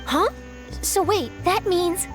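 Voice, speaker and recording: A woman voicing a curious, polite young female reporter in an anime-style video game, expressive and inquisitive. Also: very high-pitched, slow pace, over music, heavily acted. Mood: curious, sympathetic.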